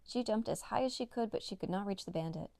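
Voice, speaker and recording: A woman's voice: mid-range voice, fast talker, creaky, darkish tone, no room sound. A woman narrating a children's read-along story as a storyteller.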